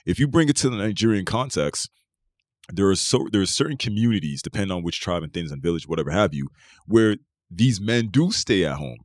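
A man's voice: deep voice, animated, fairly quick, dry recording, clean studio sound. A man hosting a podcast, arguing his point at length in casual debate, restarting and repeating himself as he goes. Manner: long-winded, argumentative, restarts and repeats phrases. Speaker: podcast host.

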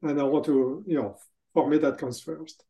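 A middle-aged man from France speaks English with a clear French accent. His voice is a little higher than most men's yet dark and mellow in tone. He answers in a casual, halting way, often restarting his sentences. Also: fairly even intonation. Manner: hesitant, restarts phrases, laughs between thoughts. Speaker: guest, tech executive.

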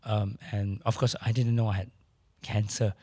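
A middle-aged man with a hoarse voice, speaking candidly as a podcast guest in casual conversation. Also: on the low side, little pitch movement, moderate pace, warm tone, no echo. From Malaysia. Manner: candid, matter-of-fact, occasional restarts.

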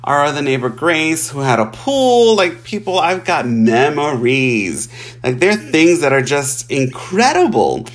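Animated, chatty man from Puerto Rico. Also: on the high side, a little slow. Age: 40s. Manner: animated and chatty, laughs often, addresses listeners as y'all. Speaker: storyteller sharing his life story. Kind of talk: casual personal storytelling.

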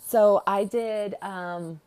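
A woman's voice: medium pitch, steady tone, very slow, darkish tone, clear, resonant tone. A woman with a slight lisp hosting a casual podcast monologue, rambling in a self-deprecating, blunt and sarcastic way. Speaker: podcast host, nurse.

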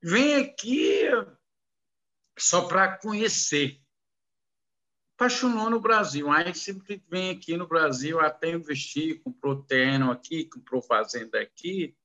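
Slow halting non-native man. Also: on the high side, expressive, sharp tone, highs cut off. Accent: foreign, non-native Portuguese. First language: not Portuguese. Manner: halting, broken Portuguese with many restarts. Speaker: interviewee, businessman. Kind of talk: casual interview answers.

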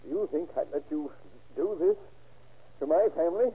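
A man's voice: on the high side, lively intonation, moderate pace, slightly rough. An actor playing an anxious, pleading middle-aged family man held hostage in a 1930s radio crime drama, speaking hesitantly and earnestly. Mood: desperate pleading. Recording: dull, lo-fi old radio recording.

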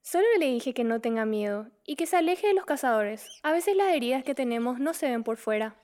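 A woman playing a gentle, fearless young girl from the moon in an audio drama, calm and earnest. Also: fairly high pitch, brisk, lightly acted. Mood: gentle, assured.

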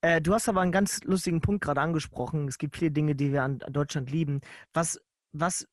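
Teasing young man, fairly high voice. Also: steady tone, a little fast, no echo, clean studio sound. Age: young adult. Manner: joking, teasing, stammering restarts. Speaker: podcast co-host. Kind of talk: casual chat.

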